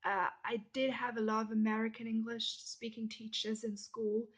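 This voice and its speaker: A young woman from Germany with a German accent, chatting casually through a list of questions and often dropping into soft whispered asides. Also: fairly high pitch, level tone, moderate pace, breathy edge. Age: young adult. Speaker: vlogger. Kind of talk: casual question-and-answer tag video.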